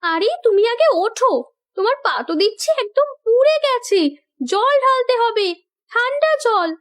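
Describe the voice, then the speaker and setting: A woman playing a gentle, dutiful young village wife and daughter in a cartoon. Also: very high voice, expressive, moderate pace. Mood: concerned. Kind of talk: cartoon voice acting.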